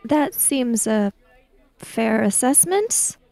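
A woman playing a tabletop roleplaying game, describing her character in a measured, matter-of-fact way. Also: a little high, big pitch swings, vocal fry, mellow, no room sound. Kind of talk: third-person roleplay narration.

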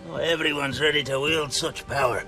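A man's voice: fairly high pitch, steady tone, a little fast, over music, natural voice. An actor playing a confident, inquisitive craftsman in a video game cutscene. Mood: curious, assured.

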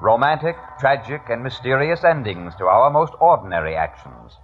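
A man's voice: mid-range voice, expressive, normal speed. A man announcing a 1950s radio drama in a dramatic, portentous manner. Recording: muffled old radio recording over loud music. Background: loud dramatic music.

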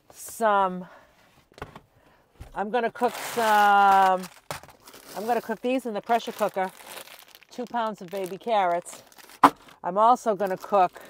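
A woman in her sixties chatting casually to camera as a vlogger, telling everyday stories in a chatty, animated way. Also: medium pitch, a little hiss or hum. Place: inside a small camper van.

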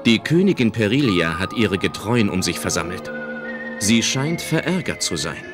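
A man narrating a children's audio drama as an expressive storyteller. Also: on the low side, normal speed. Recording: music behind the narration.

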